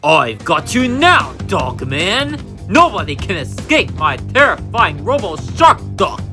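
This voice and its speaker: Woman acting boastful cartoon villain, theatrical, gloating, lively pitch, music underneath. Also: slow pace.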